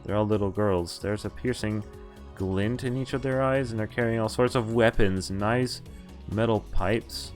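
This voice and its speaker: Male streamer, low voice, reads visual novel text aloud with offhand reactions; faint music bed. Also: fairly even intonation. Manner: plain reading voice broken by quick reactions and chuckles. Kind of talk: reading game text aloud with casual commentary. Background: faint game music.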